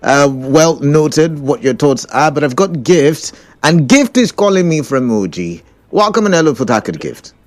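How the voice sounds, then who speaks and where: A man's voice: a little high, moderate pace, few pauses. A man with a Nigerian accent hosting a radio phone-in, chatting casually and moving callers along. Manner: lively, laughs easily, moves callers along. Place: radio studio.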